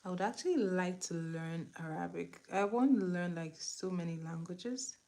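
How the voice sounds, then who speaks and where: A woman's voice: on the low side, normal speed, a little mellow. A young woman with a Nigerian accent and Igbo as her first language, chatting casually through a makeup tutorial and sometimes dropping to a whisper. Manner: chatty, drops to a whisper while applying. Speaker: makeup vlogger.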